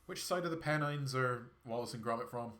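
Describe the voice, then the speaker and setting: A man's voice: medium pitch, a little fast, a bit bright. A man with a Perthshire Scottish accent and a scratchy throat from a cold, chatting casually and rambling while he streams a game. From Scotland. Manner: rambling, chatty, self-deprecating.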